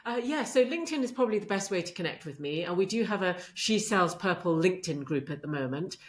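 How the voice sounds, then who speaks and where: A woman's voice: on the low side, expressive, quick pace. A middle-aged woman speaking earnestly as a guest in a podcast interview, with frequent affirmations. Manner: earnest, warm faith talk with frequent affirmations. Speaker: podcast guest, medical leader. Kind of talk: conversational interview.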